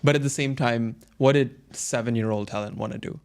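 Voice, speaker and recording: A man's voice: medium pitch, fairly quick, gravelly. A man hosting a podcast interview, talking casually and hesitantly, with many fillers and laughs. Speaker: podcast host.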